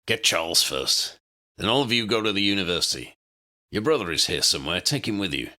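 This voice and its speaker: A man voicing a gruff but fair commandant, authoritative and measured, in an audiobook story. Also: sits low, expressive, pushed and bright, exaggerated voice. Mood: commanding. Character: gruff but fair male commandant, cigar-smoking superior.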